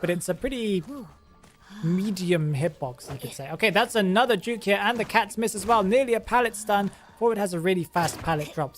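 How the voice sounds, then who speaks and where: A host giving casual, chatty commentary on gameplay, thinking aloud and often trailing off to restart a sentence. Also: medium pitch. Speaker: game commentator and channel host.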